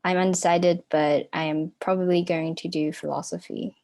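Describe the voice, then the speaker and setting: A young woman from China, a university student, introducing herself quietly on a video call. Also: fairly low pitch, flat delivery, moderate pace, no room sound. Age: young adult. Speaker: student panelist.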